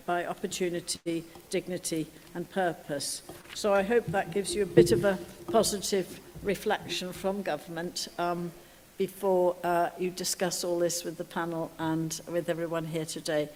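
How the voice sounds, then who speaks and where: A woman's voice: lowish voice, darkish tone, slight background noise. A woman giving a formal, earnest speech on behalf of government. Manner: earnest, measured, some restarts. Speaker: government speaker. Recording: long hall reverb.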